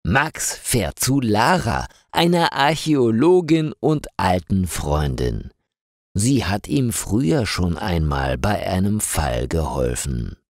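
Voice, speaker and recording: A man narrating a scripted story for language learners, reading slowly and carefully articulated with lively intonation. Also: low voice, dry recording, clean studio sound.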